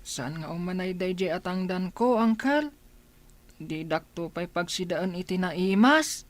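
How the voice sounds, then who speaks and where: A man's voice: sits high, lively intonation, unhurried. An actor with a Filipino accent playing a stubborn young male porter in a radio drama. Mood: complaining.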